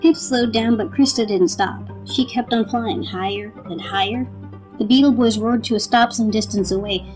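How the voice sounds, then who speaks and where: A woman's voice: a little high, normal speed, darkish tone, soft background music, poor audio. A woman reading as a storybook narrator in a dramatic, scripted style.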